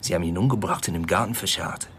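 An actor playing an affable but guarded male embassy official in a naturalistic radio play, speaking politely and in a measured way. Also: sits low, a bit bright, slight background noise. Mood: matter-of-fact, guarded. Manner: polite, measured, stumbling over a foreign place name.

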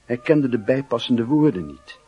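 A man's voice: medium pitch, lively intonation, normal speed, slightly rough, muffled recording, faint music behind. A man narrating a radio play in a scripted, literary style, as a sombre storyteller. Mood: solemn, literary. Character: sombre literary male narrator of a boy's youth.

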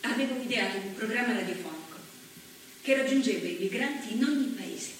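An actress playing an earnest woman politician remembering the postwar years, slow and solemn in her delivery. The voice sits at a middle pitch, heard from far off on an echoey stage with faint hiss behind. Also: natural voice. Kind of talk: theatrical monologue. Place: theatre stage.

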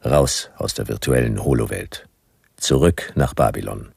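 A sardonic future private eye in a radio play. The voice is extremely low, creaky and husky. He tells the story in short, dry, weary phrases. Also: a little slow, a little mellow, no echo, full character voice. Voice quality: gravelly, raspy. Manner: terse, laconic, dry. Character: world-weary, sardonic hard-boiled private detective in dystopian future. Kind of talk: noir first-person narration in radio drama.

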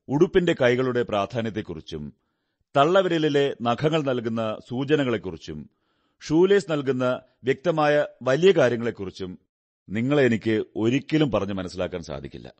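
A man playing a brilliant, condescending detective in a radio drama, speaking in a deliberate, instructive, theatrical way. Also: mid-range voice, very animated, muffled recording, lightly acted. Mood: musing, instructive. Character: brilliant, self-assured male detective, observant and condescending.

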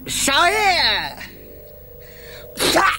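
An actor playing a devoted, excitable male water spirit, declaiming in a children's radio play. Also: very high-pitched, extremely slow, faint music behind, heavily acted. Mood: straining, showing off. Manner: exclaiming, declamatory.

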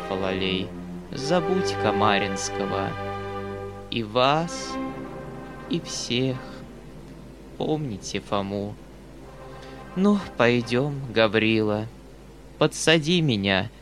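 A man's voice: medium pitch, animated, slow, pauses often, over music, voice pushed down. A man voicing a pompous, self-righteous, preachy male hanger-on in a theatrical audiobook manner. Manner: haughty, preachy, theatrical, repetitive. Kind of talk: dramatic audiobook character acting.

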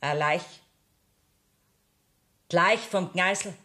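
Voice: mid-range voice, drawn-out words, bright, forceful tone. A performer playing a blunt, stubborn visitor with a Bavarian accent in a German radio play. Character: blunt, stubborn caller buying a corpse. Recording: echoey room.

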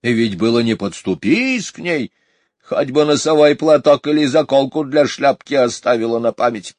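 A man's voice: medium pitch, animated, moderate pace, no room sound, voice pushed up, close to an everyday voice. A man voicing a gossipy old housekeeper who sing-songs and puffs as she talks. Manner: sing-song, puffing, gossipy. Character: chattering, sing-song old housekeeper woman.

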